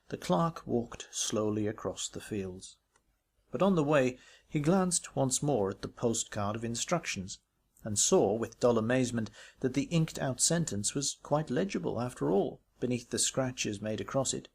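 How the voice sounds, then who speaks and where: A man reading a ghost story aloud as literary narration, in a measured, expressive way with varied emphasis. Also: mid-range voice, creaky, slightly rough. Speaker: audiobook reader.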